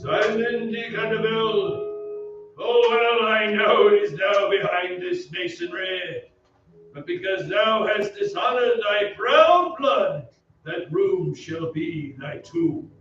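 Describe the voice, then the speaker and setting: Proud declamatory lord for radio drama, male actor, deliberate delivery, distant and echoey stage sound. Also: sits high, fairly bright tone, soft background music. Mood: grim, stern. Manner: declamatory, haughty. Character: proud, stern English lord, a grown man's father, defending family honor. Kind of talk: old-time radio acting. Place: theatre stage. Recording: echoey stage, distant mic, dull, faint noise.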